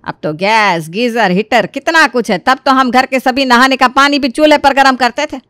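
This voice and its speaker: Lady doing a bossy older indian mother-in-law for a story, high voice, scolding and sarcastic, super dry recording. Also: moderate pace, sharp tone, clear, resonant tone, no echo. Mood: grumbling, scolding. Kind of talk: dramatised Hindi storytelling.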